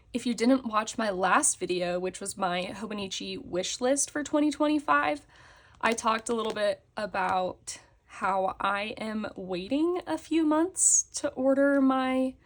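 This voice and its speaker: Young woman, slightly hoarse, fairly high-pitched, chatty, casual planner show-and-tell. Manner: chatty, with fillers and frequent laughs. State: slightly hoarse after a concert. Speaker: planner vlogger. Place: indoors at a desk, hands on a table.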